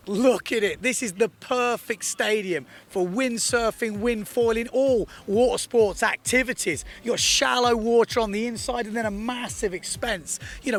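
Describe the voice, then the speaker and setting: A man's voice: high-pitched, fairly bright tone. A man presenting a windsurfing event report with energy, explaining things to viewers emphatically. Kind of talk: enthusiastic sports report presenting.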